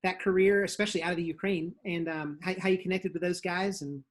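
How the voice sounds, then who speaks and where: A man hosting a podcast interview in a relaxed, casual way. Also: sits high, quick pace. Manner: laid-back, uses "man" and "very cool". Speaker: podcast host.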